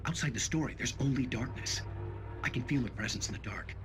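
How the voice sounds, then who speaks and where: An actor playing a desperate, obsessive writer muttering to himself in a hushed, halting, intense monologue for a game. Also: male voice, mid-range voice, over music, close to an everyday voice.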